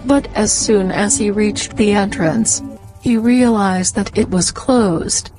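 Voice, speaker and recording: A woman's voice: mid-range voice, takes it slowly, over music, poor audio. A woman narrating a scripted fairy tale as a storyteller.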